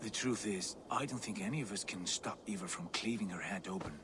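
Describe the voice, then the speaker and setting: A man playing a serious, decisive Viking leader in a video game, with a measured, commanding manner. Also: on the low side, noisy background, natural voice. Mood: composed. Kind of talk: dramatic video game acting.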